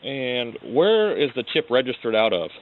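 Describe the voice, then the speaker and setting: Male cop asking questions on a call, medium pitch, halting and probing. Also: big pitch swings, phone-quality sound. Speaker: police detective. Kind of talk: informal questioning on a phone call.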